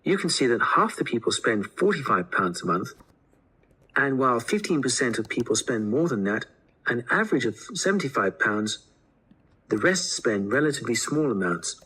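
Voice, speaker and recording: A man's voice: medium pitch, big pitch swings, moderate pace, bright, forceful tone, breathy edge, heavily acted, rough recording. A man playing an earnest college student explaining survey findings in a scripted listening-test dialogue. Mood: earnest, informative.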